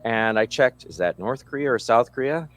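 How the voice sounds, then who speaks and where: A man's voice: mid-range voice, lively intonation, moderate pace, dry recording. A middle-aged man, telling a story in a reflective way. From United States. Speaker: interviewee, English teacher.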